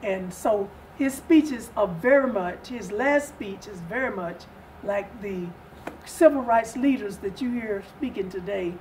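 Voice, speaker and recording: An older woman speaking as an interview guest in a measured, deliberate way with pauses. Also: mid-range voice, a little mellow. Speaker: guest from a readers theatre group. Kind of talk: interview conversation. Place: indoor sitting room set. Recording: distant mic with faint room noise.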